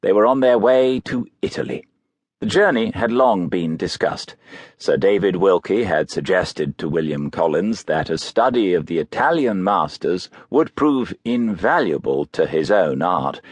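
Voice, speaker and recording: A man narrating a biography audiobook in a measured, literary storytelling manner. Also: low voice, slightly rough, dry recording.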